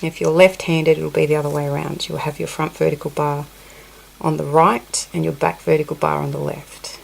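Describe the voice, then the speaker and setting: Woman with a cold, low gravelly voice, counts stitches softly, crochet tutorial, faint ambience. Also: flat delivery, normal speed, a little hiss or hum, rough recording. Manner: counts stitches under her breath, apologetic asides. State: sniffly with a cold. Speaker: crochet teacher. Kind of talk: hands-on craft tutorial. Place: indoors at a table, close-up on her hands.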